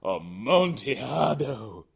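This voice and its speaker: A man's voice: mid-range voice, sing-song, slow pace, vocal fry, breathy edge, voice pushed up, heavily acted. A man voicing a drunken, proud Italian wine connoisseur in a storybook reading, exclamatory, boastful and impatient. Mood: astonished.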